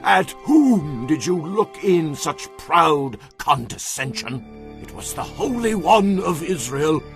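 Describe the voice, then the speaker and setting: A stern bible prophet, slow and declamatory, solemn, music behind him. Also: male voice, on the high side, very animated, full character voice. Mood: indignant. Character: stern male prophet declaring God's wrath and promises. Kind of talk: dramatized audio Bible acting.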